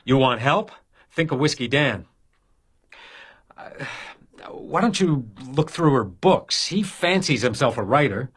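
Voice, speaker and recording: A haunted male detective in a radio crime drama. His voice is mid-pitched and a little husky, and he speaks in curt, urgent bursts, anxious and driven. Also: animated, measured pace, fairly bright tone. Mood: urgent, intense.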